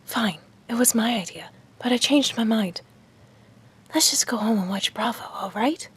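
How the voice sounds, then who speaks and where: A woman playing a haughty, scheming rich teenage girl in a fan audio drama, speaking in a breathy, arch near-whisper. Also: a little high, moderate pace, a bit bright. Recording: music bed behind voice.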